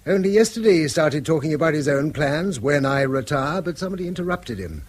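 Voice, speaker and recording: An actor playing a proud, hard-up middle-aged English schoolmaster and husband in a radio drama, earnest, persuasive and slightly declamatory. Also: male voice, fairly high pitch, expressive, brisk, slight background noise, close to an everyday voice. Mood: resigned, hopeful. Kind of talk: British radio drama acting.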